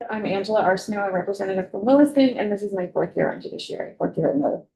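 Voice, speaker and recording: A woman state representative questioning a witness at a committee hearing, pausing and rephrasing as she goes. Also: mid-range voice, animated, creaky, dark tone. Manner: hesitant, rephrases her questions.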